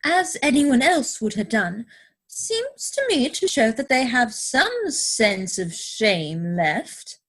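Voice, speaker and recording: A poised young lady of society, played by a woman, speaks slowly in a high voice with sweeping melody. She is affected and dramatically earnest, as in a period comedy reading. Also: very animated, fairly bright tone, heavily acted. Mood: haughty.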